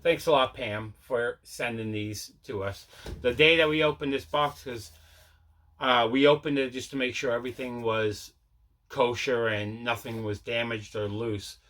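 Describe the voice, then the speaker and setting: Man, mid-pitched, bright punchy tone, casual unboxing talk, rambling, repetitive. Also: fairly even intonation, measured pace. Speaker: video host showing mail and movie collection.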